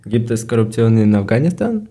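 A man's voice: on the low side, very animated, a little fast, mellow, slightly rough. A man from Ukraine co-hosting a casual podcast in learner German, speaking plainly and matter-of-factly. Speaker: podcast co-host.

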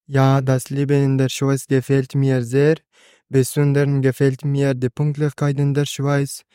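A man, a student guest speaking in measured, plain short sentences on a school podcast. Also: medium pitch, monotone, mellow, clear, resonant tone, dry recording, clean studio sound. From Afghanistan.

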